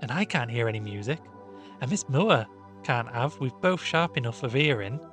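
A man voicing a sharp, anxious country woman who speaks in an emphatic rustic way in a storybook reading. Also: mid-range voice, animated, moderate pace, faint music behind, natural voice. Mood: cross, scolding. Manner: emphatic, rustic colloquial speech.

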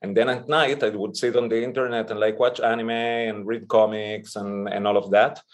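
A man's voice: fairly low pitch, steady tone, normal speed. A man in his thirties from Israel and Chile, chatting informally as an interview guest and telling personal stories. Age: 30s. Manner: informal, mild swearing. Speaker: podcast guest, toy artist. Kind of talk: casual interview chat, personal storytelling.

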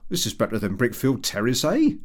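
A loud, coarse, jovial tradesman out of a comic storybook, acted out by a male reader. He is brashly over-familiar, and his pitch leaps around with lively swings. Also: fairly quick.

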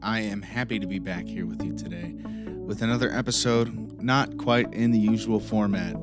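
A man with a raspy voice hosts a podcast intro, rambling with lots of ums and uhs. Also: lowish voice, steady tone, moderate pace, loud music behind the voice. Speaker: podcast host.

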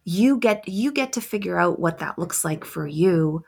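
A woman coaching on confidence as a podcast guest, soft and quiet, taking long pauses between her thoughts. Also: fairly low pitch, lively intonation, creaky, slightly rough. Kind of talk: reflective interview answers.

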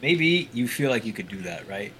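A man's voice: mid-range voice, very animated, moderate pace, a bit bright, a little hiss or hum, poor audio. A young man co-hosting a casual podcast, explaining things at length in an earnest way. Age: young adult. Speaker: podcast co-host. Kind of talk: casual chat. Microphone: home mic over a voice call.

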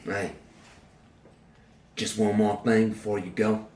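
Male actor doing a low-voiced, sneering, crude-talking convict; roomy echo, faint music under. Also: level tone, slow pace, a little mellow, a little hiss or hum. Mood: taunting, low. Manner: coarse drawling slang, sneering. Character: defiant male convict serving life, bitter and crude. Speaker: prison inmate. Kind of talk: dramatic dialogue acting.

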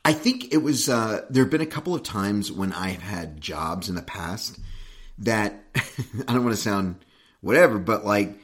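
Man co-hosting A man trades stories and teasing jokes on a podcast, his pitch swinging widely from line to line. He talks into a studio mic. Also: moderate pace. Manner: animated, joking storyteller. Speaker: podcast co-host. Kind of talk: casual podcast chat.

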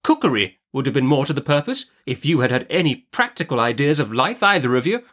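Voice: medium pitch, sing-song, a little fast, fairly bright tone, pitched above the performer's own voice. A narrator voicing a brusque, imperious older great-aunt in an audiobook, emphatic and commanding. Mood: brusque, incredulous.

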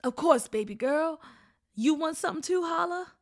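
A woman's voice: high-pitched, expressive, normal speed, pitched above the performer's own voice. A woman voicing a loving, worried father in a story, tender and pleading, drawing out the words. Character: loving, worried, ailing father of two children.

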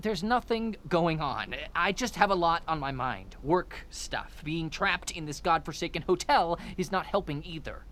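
A woman's voice: fairly low pitch, lively intonation, measured pace, few pauses, a bit bright. A woman playing a stressed, evasive, short-tempered character in an audio drama, clipped and weary.

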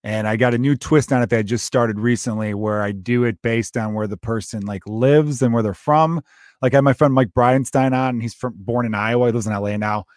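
A man's voice: mid-range voice, fairly even intonation, fairly quick, darkish tone, dry recording, studio quality. A man hosting a podcast interview, asking questions in a rambling, joking, self-deprecating way. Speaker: podcast host. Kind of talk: casual interview chat.

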